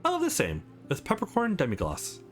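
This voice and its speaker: Man voicing cocky, animated male dinner date. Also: high-pitched, brisk, faint music behind, voice pushed up, heavily acted. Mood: assured. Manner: dry, bantering.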